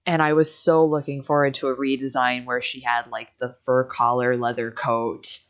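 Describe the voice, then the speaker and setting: A woman with a strikingly low voice gives casual game-stream commentary. She is candid and opinionated, talking as she would in an informal chat. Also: flat delivery, normal speed.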